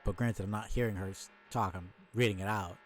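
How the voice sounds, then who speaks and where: A man's voice: fairly low pitch, fairly even intonation, a little fast, slight background noise. Blunt, sweary man commenting casually on stream. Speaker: streamer reading a webcomic aloud.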